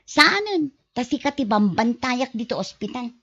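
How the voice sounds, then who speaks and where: A woman's voice: fairly high pitch, big pitch swings, normal speed, bright, forceful tone, breathy edge, dry recording, full character voice. A woman with a Filipino accent acting a worried, scolding family woman in an audio drama. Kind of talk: amateur Ilocano radio-style drama acting. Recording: dull, roomless lo-fi audio.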